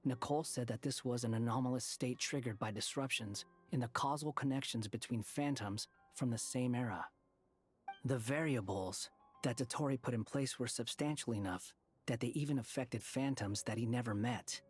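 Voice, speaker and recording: A man voicing an aloof, cynical young wanderer in a video game, explaining in a measured, disdainful way. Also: medium pitch, breathy edge, faint music behind, close to an everyday voice.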